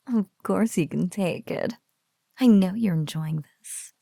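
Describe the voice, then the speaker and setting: A woman voicing a sultry, smugly menacing spider-woman bully in an intimate ASMR roleplay, breathy and often slipping into whispers, with mock-pitying coos. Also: medium pitch, slow, mellow, no echo, clean studio sound, exaggerated voice.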